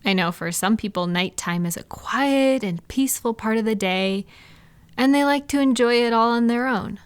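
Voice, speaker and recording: A woman playing a supportive, gentle female friend in an intimate, casual comfort roleplay, speaking in a reassuring, validating way. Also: fairly high pitch, moderate pace, clear, resonant tone, no echo, close to an everyday voice. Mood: gentle, understanding. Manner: reassuring, validating, softly indignant on listener's behalf. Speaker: audio roleplay performer.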